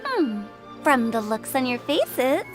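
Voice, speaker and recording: A high, girlish voice for a playful young woman funeral director. She is theatrical and teasing, a wordy game character with music behind her. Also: sing-song, slow, exaggerated voice. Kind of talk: video game character dialogue.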